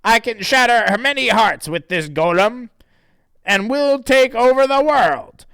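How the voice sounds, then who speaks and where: A man's voice: extremely high pitch, animated, a little slow, pushed and bright, clean tone, dry recording, pitched above the performer's own voice, heavily acted. A man voicing a scheming witch in a gloating, cackling put-on voice.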